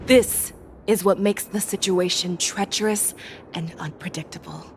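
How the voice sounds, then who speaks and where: A woman voicing a composed, shrewd general in a video game, speaking in a commanding, measured, instructive way. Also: on the low side, steady tone, slight background noise. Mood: grave, cautioning. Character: composed, shrewd female general, a seasoned hunter. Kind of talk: dramatic video game voice acting.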